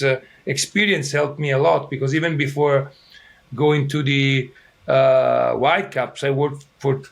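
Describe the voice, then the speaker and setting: A middle-aged man from Italy with an Italian accent, a soccer coach answering interview questions in halting English full of fillers and restarts, his throat a little irritated. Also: medium pitch.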